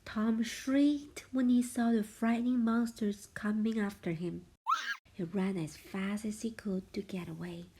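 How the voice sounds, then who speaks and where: A woman reading a children's storybook aloud as a parent would, expressively, with a hushed sense of suspense. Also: medium pitch, fairly even intonation, a little slow, noisy background.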